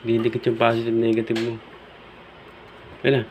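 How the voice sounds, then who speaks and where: A man's voice: medium pitch, monotone, brisk. A Filipino man chatting casually with a coworker as he troubleshoots equipment, in a noisy on-site recording. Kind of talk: casual work chat.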